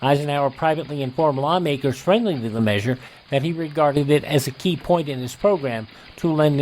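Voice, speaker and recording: A man's voice: on the high side, darkish tone, a little hiss or hum, rough recording. A man with an American accent working as a radio host, reading scripted announcements in a presenter's style. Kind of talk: scripted narration of ads and old news headlines.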